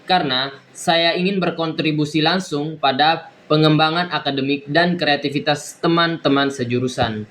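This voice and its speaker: A young man, a university student, giving a recorded exam presentation in a halting way with many fillers and restarts. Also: a little high, fairly bright tone. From East Nusa Tenggara, Indonesia. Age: young adult.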